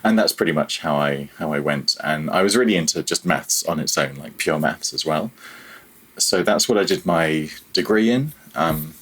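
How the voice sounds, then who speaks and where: A man's voice: a bass voice, expressive, moderate pace, a bit bright, husky, low-quality recording. A man in his thirties chatting casually as an interview guest, reflective and a little hesitant, with a self-deprecating way of talking. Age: 30s. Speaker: podcast guest, audio programmer.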